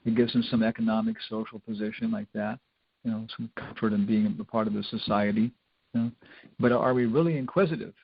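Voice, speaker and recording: Older man, gravelly informal spiritual lecturer. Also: lowish voice, steady tone, fairly quick, no echo. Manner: rambling, conversational, with wry humour. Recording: dull lo-fi lecture recording.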